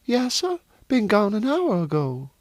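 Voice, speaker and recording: A man voicing a devoted, excitable Southern woman servant in an audiobook story. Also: high-pitched, a little slow, no echo, voice pushed up, exaggerated voice. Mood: deferential.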